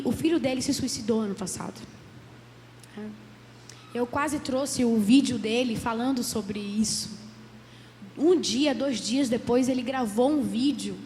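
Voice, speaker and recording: Hoarse woman lecturing in a church hall. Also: fairly high pitch, darkish tone. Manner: earnest, many "né?" tags. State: hoarse from a cold. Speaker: psychologist giving a church talk. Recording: roomy hall with faint background noise.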